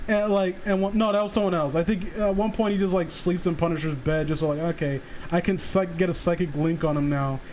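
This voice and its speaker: High pitched guy rambling and swearing on a stream, excitable fan talk, bit of background noise. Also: fairly quick, few pauses. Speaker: livestream host. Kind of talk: casual chat about comic book characters.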